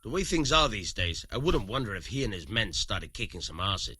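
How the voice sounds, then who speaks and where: An actor playing a cynical, rough-tongued medieval commoner with a husky voice and colloquial, emphatic delivery in a video game. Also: male voice, lowish voice, very animated, brisk, sharp tone, no echo, studio quality, heavily acted. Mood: scornful, blunt. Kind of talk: video game dialogue acting.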